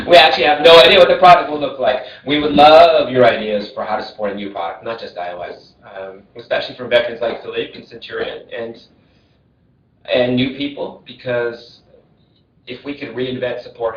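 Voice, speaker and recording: A man giving an upbeat, chatty Android update in a casual meeting and laughing now and then. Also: fairly low pitch. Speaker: Android support lead. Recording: echoey, distant, muffled call audio.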